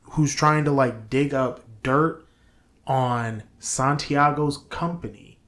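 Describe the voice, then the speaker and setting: A man co-hosting a casual movie review, opinionated and emphatic, halting with pauses as he talks and reads from notes. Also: medium pitch, fairly even intonation, a bit bright, roomy sound. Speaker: show co-host.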